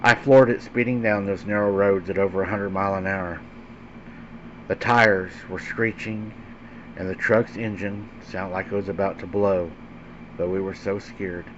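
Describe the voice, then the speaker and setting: Low-voiced man telling a scary story. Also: fairly even intonation, moderate pace, a bit bright. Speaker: host and narrator of a paranormal story show. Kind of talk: dramatic scary-story narration. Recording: dull lo-fi sound with faint background hum.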